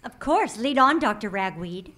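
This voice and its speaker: A woman's voice: mid-range voice, lively intonation, normal speed, sharp tone. A woman voicing an earnest, naive young female assistant who is polite and fussy. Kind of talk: comic radio play acting.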